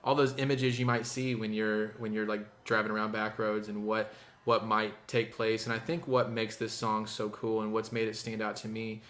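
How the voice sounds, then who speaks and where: Easygoing young man with a bright voice. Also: fairly low pitch, flat delivery, moderate pace. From Indiana, US. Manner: easygoing, many fillers and restarts. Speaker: musician guest. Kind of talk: casual interview chat.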